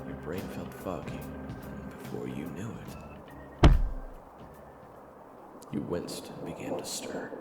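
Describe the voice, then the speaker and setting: A man giving theatrical, scripted second-person narration for an audio drama, often hushed. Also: deep voice, vocal fry. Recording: roomy sound under loud music. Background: music.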